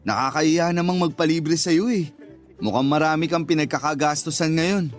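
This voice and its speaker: A man's voice: a little high, fairly even intonation, normal speed, soft background music, natural voice. A man playing an earnest, tactless young suitor in a Tagalog radio drama, careful and apologetic as he explains himself. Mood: shy, teasing.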